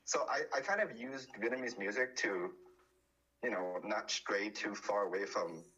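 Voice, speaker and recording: A man's voice: fairly low pitch, normal speed, sharp tone, lo-fi sound. A man chatting casually as a podcast guest, often restarting his sentences. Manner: hesitant, many restarts and fillers.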